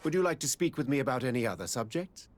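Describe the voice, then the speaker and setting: A self-assured colonial governor from a video game , played by a man. The voice sits at a medium male pitch, and he speaks formally and eloquently, with stately, genteel authority. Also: lively intonation, quick pace, close to an everyday voice. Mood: courteous, commanding. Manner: formal, eloquent, smooth-mannered. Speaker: governor character. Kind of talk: video game cutscene acting.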